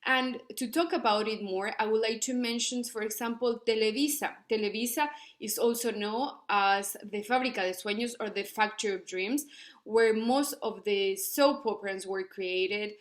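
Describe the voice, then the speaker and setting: A woman's voice: a little high, normal speed. A young woman from Ecuador, giving an earnest academic presentation with many fillers and restarts. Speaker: student researcher presenting.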